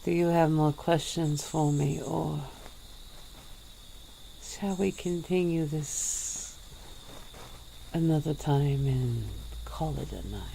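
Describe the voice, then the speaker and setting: A woman voicing an ancient, weary female vampire in a soft-spoken ASMR roleplay, with low chuckles. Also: slow, vocal fry, pauses often, slight background noise, full character voice, poor audio.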